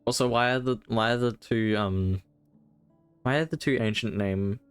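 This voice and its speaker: A man's voice: medium pitch, normal speed, clean tone, faint music behind. A man giving casual livestream commentary on a game, in rambling, wry asides. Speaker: game streamer.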